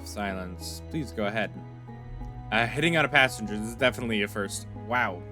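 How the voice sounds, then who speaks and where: A young man with a fairly low voice gives loose, chatty commentary while playing through a story game. He reads the characters' lines aloud and thinks out loud with plenty of fillers. Soft music runs faintly in the background. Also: brisk. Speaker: gaming YouTuber. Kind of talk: casual let's-play commentary, reading dialogue aloud.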